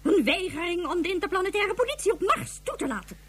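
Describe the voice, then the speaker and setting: A woman's voice: very high voice, sing-song, quick pace, a bit bright, heavily acted. An actress playing an indignant, emphatic woman of authority in a science fiction radio play.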